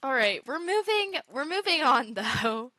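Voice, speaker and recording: Young woman gamer chatting on stream, giggly, bright voice, takes it slow. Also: high-pitched, very animated, dry recording. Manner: chatty, giggly, self-conscious. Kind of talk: casual live gameplay chat.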